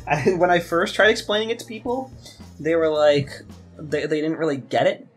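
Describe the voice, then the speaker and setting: A young man in casual podcast chat as a co-host, talking in a halting way and often rephrasing as he goes. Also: fairly high pitch. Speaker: podcast co-host, game designer. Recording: music behind the voice. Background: background music.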